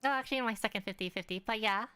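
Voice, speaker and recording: A young woman with a bright, crisp, fairly high voice, chatting on a game stream. Her talk is offhand and rambling, with faint music in the background. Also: rapid-fire, no echo. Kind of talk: casual live stream chat, answering viewers. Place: room at home.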